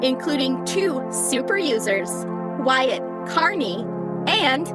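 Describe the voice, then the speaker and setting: A woman acts as a high-voiced, animated computer guide for a digital afterlife. It is prim, naive and painfully literal, speaking in stiff, formal sentences for a scripted comedy drama, with clear music behind it. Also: slow, heavily acted. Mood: proudly formal. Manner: formal, stilted wording without contractions.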